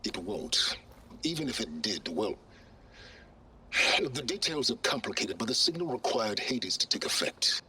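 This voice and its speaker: An actor playing an arrogant, secretive older male researcher in a video game, speaking in a measured, pompous, sardonic and condescending way. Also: on the low side, sing-song, bright, forceful tone, slight background noise, heavily acted. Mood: impatient. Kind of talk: video game cutscene acting.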